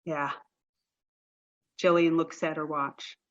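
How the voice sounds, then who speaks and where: A woman's voice: fairly low pitch, fairly even intonation, normal speed, highs cut off. A woman reading stage directions as scripted narration for a play.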